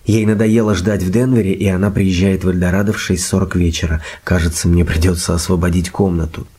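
An actor playing an eager young amateur sleuth in a radio play, speaking in a lively, informal manner. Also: male voice, sits low, fast, breathy edge. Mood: eager, curious. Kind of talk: radio drama acting with narration. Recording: radio play with loud music behind.

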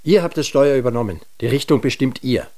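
Actor as a sullen older father, mellow-voiced. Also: mid-range voice, fast talker, natural voice. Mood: bitter, sardonic. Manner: sullen, sardonic. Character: bitter grumpy older jobless father, feeling discarded. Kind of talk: naturalistic German radio drama acting.

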